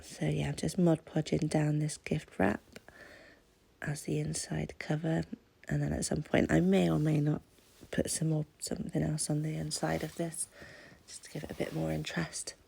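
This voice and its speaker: Congested British woman, very creaky low voice. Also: flat delivery, moderate pace, mellow. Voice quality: congested, stuffy-nosed. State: has a cold. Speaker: craft channel host. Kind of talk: casual craft voice-over, chatty.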